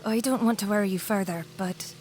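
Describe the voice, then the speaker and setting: A woman's voice: mid-range voice, fairly quick, darkish tone, faint music behind, close to an everyday voice. A woman playing a soothing, attentive wife in an audio drama, polite and reassuring in manner. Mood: politely concerned. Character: soothing, attentive new wife with veiled intentions.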